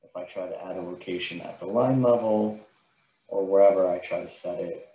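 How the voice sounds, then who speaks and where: A man with a fairly deep voice gives a software tutorial. He explains each step in an informal, instructional way. The sound is roomy, with a clear echo around his voice. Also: fairly quick, muffled recording. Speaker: software trainer.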